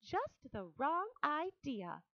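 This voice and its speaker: A woman's voice: high-pitched, slow, pitched above the performer's own voice, heavily acted. Charlotte, a wise spider, as a woman voices her. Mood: urging, warm. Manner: bright, lively, coaxing and polite. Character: kind wise female barn spider, gently commanding. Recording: dull lo-fi audiobook recording.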